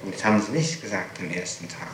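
A man's voice: deep voice, fast talker. A man putting formal, insistent questions as a prosecutor in an interrogation, in a matter-of-fact way. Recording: echoey, noisy archival 1960s interrogation tape.

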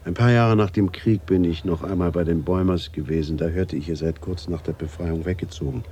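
An actor playing a quiet middle-aged man in a German radio drama, questioning soberly in a raspy, breathy voice. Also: deep voice, fairly even intonation, fairly quick. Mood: taken aback. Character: quiet, probing middle-aged man seeking his family's truth.

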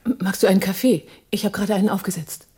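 An actress playing a direct, guarded and inquisitive woman with a husky, mellow voice in a radio drama. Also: medium pitch, level tone, fast talker. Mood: questioning, guarded.

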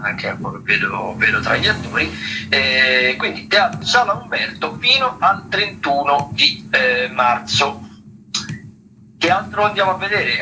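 A man giving an informal radio rundown as a theatre critic, halting and digressive, rephrasing as he goes. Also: on the high side. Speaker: radio theatre critic reviewing and listing shows. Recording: distant radio mic with music behind. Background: music.

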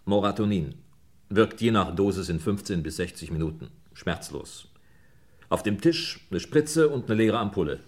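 An actor playing a brisk, dry homicide inspector in a German radio crime play, musing in clipped phrases with little questioning grunts. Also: male voice, low-pitched, lively intonation. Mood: musing, matter-of-fact.

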